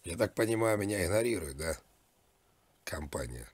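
A man making a deadpan prank call as a demanding customer, nagging and repeating himself in a pushy way. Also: low-pitched, normal speed, breathy edge, no room sound. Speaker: prank caller.

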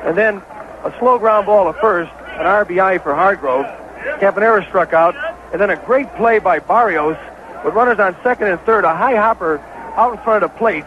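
An American man calling baseball play-by-play on 1970s radio as an excitable, partisan announcer. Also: sits high, moderate pace. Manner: excitable, partisan home-team caller. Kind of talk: 1970s live radio sports commentary. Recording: dull, noisy vintage radio broadcast.

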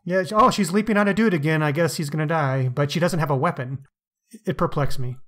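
Chatty man with a slightly high voice. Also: fast talker, fairly bright tone. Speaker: podcast co-host. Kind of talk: casual book discussion.

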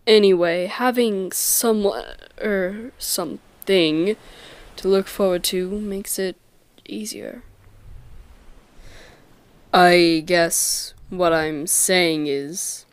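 An earnest young sea captain, acted out by a woman in a dramatic comic dub. The voice sits fairly low and moves very slowly, stammering when flustered and turning gallantly courteous. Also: clean tone, exaggerated voice. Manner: hesitant, stammering, theatrically courteous.

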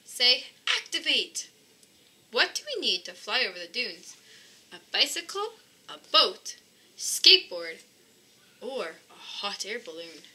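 A woman's voice: a little high, big pitch swings, slow pace, pauses often, sharp tone, full character voice. A woman voicing an eager, upbeat boy animal rescuer in a children's storybook, calling out to the listener. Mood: excited. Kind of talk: children's storybook read-aloud.

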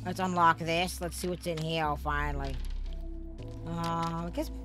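A woman giving let's play commentary in a rambling, filler-heavy way. Her voice often cracks, and she jumps into loud startled bursts. Game music plays clearly behind her. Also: low-pitched, fairly even intonation, unhurried. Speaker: gaming streamer.

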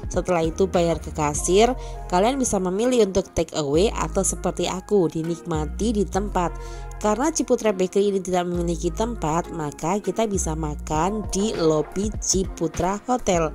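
A woman's voice: fairly low pitch, moderate pace, dark tone, faint music behind. A woman with an Indonesian accent giving an upbeat, scripted voice-over for a food vlog, reading out prices and menu items in lists. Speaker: food vlogger.

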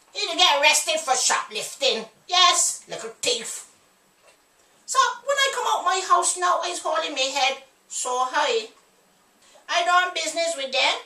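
A woman plays a gossipy, self-righteous older cleaner with a thick Barbadian accent. She talks high and bright and scorns the neighbours. The recording is roomy and echoey, taken from a few feet off in a small room. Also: exaggerated voice. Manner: gossiping, sly and scornful, dialect-heavy storytelling. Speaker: comic character monologue. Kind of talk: comic character monologue to camera. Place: small indoor room, sitting on a chair. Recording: roomy echo from a distance.

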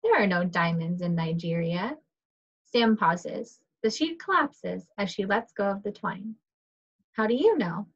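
A woman's voice: medium pitch, expressive, a little slow, breathy edge. A woman teacher reading a picture book aloud to young children over a video call. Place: indoors, on a group video call. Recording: dull, muffled video call audio.

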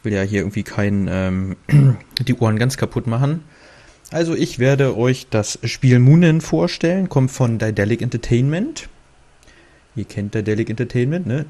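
A man's voice: medium pitch, normal speed, warm tone. A man from Germany casually commenting on a game he is playing, rambling and breaking off with fillers as he talks through the menus. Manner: chatty, rambling, self-interrupting with fillers. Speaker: let's play host. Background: game music.

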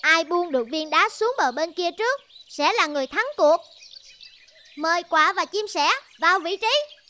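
A woman voicing a feisty, chatty small female bird in a children's cartoon, sassy and expressive. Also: extremely high pitch, normal speed, a little hiss or hum, heavily acted. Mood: announcing.

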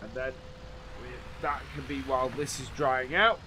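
A man's voice: mid-range voice, moderate pace, noisy background. Stuffy, slightly nasal man gaming. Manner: easygoing, thinking aloud as he works. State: stuffy from hay fever. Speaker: farming simulator role-play vlogger. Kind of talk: casual commentary while playing.

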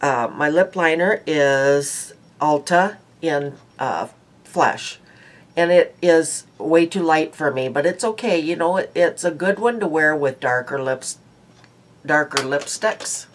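Slow-talking stuffy older woman. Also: low-pitched, a little hiss or hum. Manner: rambling, chatty, frequent ums and uhs. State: stuffy nose. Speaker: beauty vlogger. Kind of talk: casual daily makeup chat. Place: small home room indoors. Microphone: webcam at close range.

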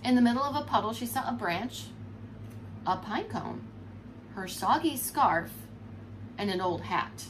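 A woman in her forties reading a storybook aloud to young children as a preschool teacher, expressive and explanatory, recorded indoors at home. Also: a little high, a little slow, pauses often. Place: furnished room at home, indoors. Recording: clear background noise.

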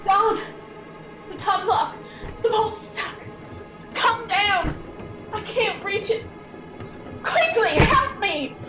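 A woman's voice: very high voice, expressive, slow pace, long pauses, over music, heavily acted, rough recording. A woman playing an obsessive, grieving elderly mother in a radio horror drama, imploring and intense. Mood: frantic.

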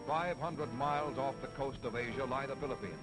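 A man's voice: medium pitch, fairly quick, over loud music, low-quality recording. An American man narrating a scripted 1940s military newsreel in a formal, declamatory announcer style on an old film soundtrack.

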